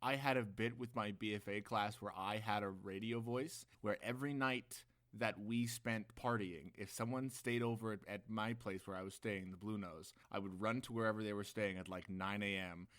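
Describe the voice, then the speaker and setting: A man's voice: lowish voice, steady tone, moderate pace, few pauses, a bit bright. A young man co-hosting a casual podcast with playful, teasing banter. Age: young adult. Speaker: podcast co-host.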